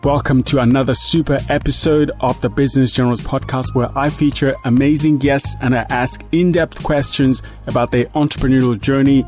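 A man's voice: medium pitch, fairly even intonation, brisk, few pauses, darkish tone, faint music behind. A man hosting a business podcast, enthusiastic and full of superlatives. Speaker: podcast host. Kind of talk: upbeat podcast intro and interview.

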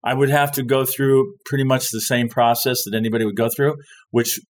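A man's voice: medium pitch, fairly even intonation, fairly quick. A man telling a long story in casual conversation, rambling and stopping to search for words. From born in France, grew up overseas. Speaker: guest telling stories.

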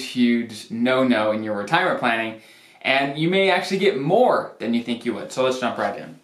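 A man giving an informal explainer talk as a financial planner, speaking emphatically and repeating words for stress. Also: mid-range voice. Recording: roomy, echoey sound.

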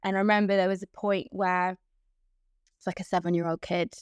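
Young woman, even and level delivery, dry close sound; chatty interview guest. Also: on the low side, fairly quick, clean tone, no echo. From Hong Kong. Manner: chatty, with frequent fillers and restarts. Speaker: guest, events professional. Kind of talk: casual interview.

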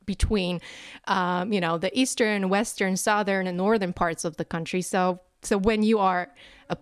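A woman's voice: medium pitch, fairly even intonation, moderate pace, clear, resonant tone, no echo. A woman in her thirties, born in Poland, talking as a podcast guest, chatty and laughing often. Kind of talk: conversational interview.

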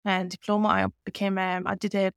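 A woman in her fifties from Iran talks steadily through her career. Her accent mixes Scottish and English, with a smooth, resonant tone and some gravel underneath. The recording is dry and close. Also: mid-range voice, a little fast, no echo. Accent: mixed Scottish-English. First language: Persian. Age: 50s. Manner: fluent, steady telling of her career with fillers. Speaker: guest, company founder. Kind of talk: conversational interview.

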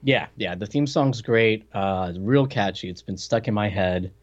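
A man's voice: lowish voice, measured pace. A man co-hosting a podcast in casual banter, with a wry, joking manner, on a slightly dull, lo-fi recording. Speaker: podcast co-host.

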